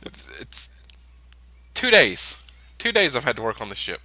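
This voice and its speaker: A man's voice: medium pitch, sing-song, unhurried, pushed and bright, no room sound. A man playing a tabletop role-playing game in casual in-character chat, often stammering and repeating his words.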